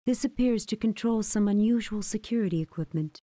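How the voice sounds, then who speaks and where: A woman playing the narrator of a space adventure game, reading scripted descriptions in an even, matter-of-fact way. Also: medium pitch, a little fast, dry recording, clean studio sound, close to an everyday voice. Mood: neutral.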